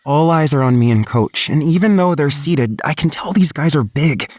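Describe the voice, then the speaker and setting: A man's voice: a little high, expressive, moderate pace, darkish tone, dry recording, lightly acted. An actor playing a shy, anxious young male student, narrating in the first person in an audio drama with an earnest, introspective manner. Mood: introspective, tense. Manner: earnest, introspective, hesitant in dialogue.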